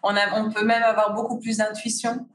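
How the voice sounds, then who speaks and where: A woman's voice: medium pitch, flat delivery, brisk, recorded from a distance. Woman, echoey and off-mic. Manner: hesitant, many fillers and restarts. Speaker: guest, fasting retreat organizer. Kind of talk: casual conversation.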